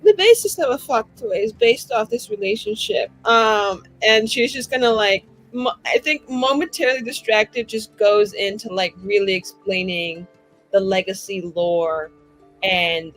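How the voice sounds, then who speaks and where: A woman's voice: medium pitch, a little slow, soft background music, low-quality recording. A woman talking casually as a player in a tabletop roleplaying game.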